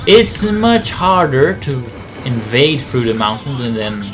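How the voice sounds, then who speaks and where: Male gaming commentator, average pitch, informal and explanatory, talking over prominent game music. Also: very animated, unhurried. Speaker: video game player and commentator. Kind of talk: casual gameplay commentary. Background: game music and aircraft sounds.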